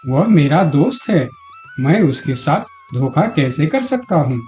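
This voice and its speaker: A man's voice: a little high, very animated, unhurried, a little mellow. A man playing a meek, devoted crocodile husband in a moral-story cartoon, earnest and pleading in manner. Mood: distressed pleading. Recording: dull lo-fi audio, music behind.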